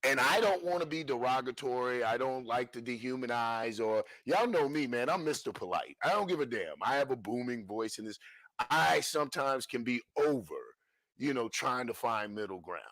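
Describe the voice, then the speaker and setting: A man's voice: mid-range voice, expressive, normal speed, a bit bright, no room sound, poor audio. A man hosting a livestream in casual street slang. He stresses his points and often repeats phrases as he talks. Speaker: livestream host. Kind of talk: casual talk-show monologue.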